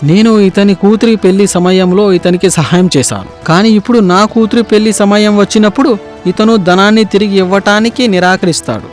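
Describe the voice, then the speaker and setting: Man as a high-voiced greedy landlord. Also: brisk, faint music behind, natural voice. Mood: self-righteous. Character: greedy, self-justifying landlord moneylender.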